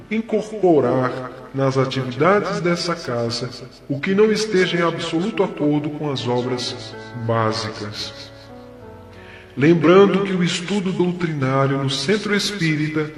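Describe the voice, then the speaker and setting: A man playing a benevolent, fatherly spirit mentor in an audio drama, giving solemn, measured counsel with deliberate pauses. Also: on the high side. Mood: solemn, instructive. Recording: echoey long hall reverb, music behind. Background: music.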